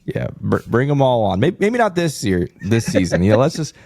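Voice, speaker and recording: A man's voice: medium pitch, sing-song, brisk, creaky, darkish tone, no echo. An American man chatting casually as co-host of a sports podcast.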